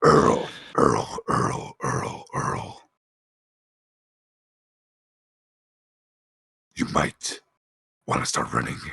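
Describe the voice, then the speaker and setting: Massive hellhound, very low breathy growl, ominous, halting, fearful, tabletop game monster. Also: male voice, sharp tone, no room sound, studio quality, exaggerated voice. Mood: grave warning.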